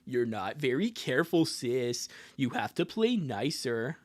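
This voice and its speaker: The streamer voicing a calm older cartoon sister who is measured and gently reproving, in a live comic dub. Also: mid-range voice, no room sound, voice pushed down. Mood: reproachful.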